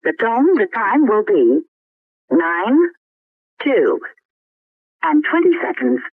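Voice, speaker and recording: A woman announcing the time as a recorded speaking clock, in a measured, formulaic delivery over a telephone line. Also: on the high side, very animated, breathy edge, no echo. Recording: thin, phone-like time-signal tape.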